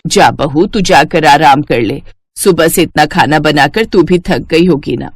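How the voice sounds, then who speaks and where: A woman's voice: low voice, measured pace, slightly rough, no room sound, voice pushed down, full character voice. A woman voicing a bossy, blunt middle-aged mother-in-law in a cartoon story. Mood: delighted, approving. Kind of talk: Hindi cartoon story dialogue.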